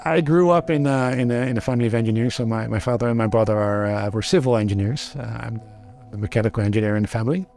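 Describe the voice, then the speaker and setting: A man's voice: mid-range voice, fast talker, darkish tone, faint music behind. A middle-aged man from the Netherlands with a Dutch accent, speaking as a company founder in a documentary interview, thoughtful and often restarting his sentences. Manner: thoughtful, many restarts and fillers.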